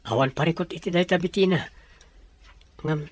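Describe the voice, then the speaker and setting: A humble young suitor played by a man in an audio drama, respectful and shy. His voice is fairly high and husky, with an earnest delivery. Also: normal speed, natural voice. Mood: bashful. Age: young adult. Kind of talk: scripted audio drama dialogue.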